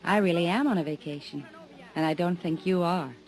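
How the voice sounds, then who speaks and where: A woman's voice: lowish voice, brisk, a little mellow, lightly acted, poor audio. An actress playing a teasing, mysterious woman on vacation in a 1950s radio drama. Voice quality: coy, teasing. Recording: old radio recording with music.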